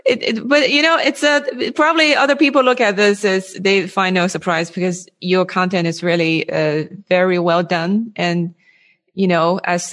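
A woman hosting a casual interview, speaking haltingly and often repeating words as she builds her sentences. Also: lowish voice, a bit bright. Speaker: interviewer, host.

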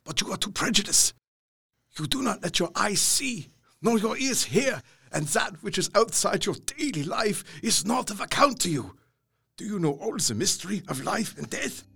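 An actor playing a kindly, earnest old professor, speaking formally and emphatically in an audio drama. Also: male voice, sits high, sing-song, normal speed, creaky, fairly bright tone, breathy edge, heavily acted. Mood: probing, insistent. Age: older. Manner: emphatic, quaintly formal phrasing.

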